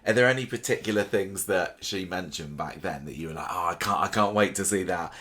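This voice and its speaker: A man hosting a casual podcast chat, with a slightly croaky voice and a chatty, rambling way of talking. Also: sits low, fairly quick, sharp tone. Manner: chatty, restarts and fillers. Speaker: podcast host.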